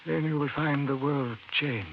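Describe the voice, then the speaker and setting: An actor playing a frail, dying old professor in an old-time radio drama, speaking weakly, haltingly and with urgency. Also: male voice, fairly high pitch, steady tone, lightly acted. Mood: earnest, reassuring. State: weak, failing. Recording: muffled, hissy old radio recording.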